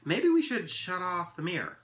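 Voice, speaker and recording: A man's voice: high voice, sing-song, normal speed, fairly bright tone, voice pushed up. A man voicing an earnest, cautious boy in a storybook, with plain casual phrasing. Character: human boy, earnest, thoughtful, cautious younger brother.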